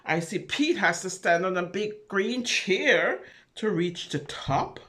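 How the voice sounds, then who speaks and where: A woman reading a picture book aloud to preschoolers, expressive and full of wonder, pausing to put questions and little asides to her young listeners. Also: medium pitch. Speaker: children's storyteller.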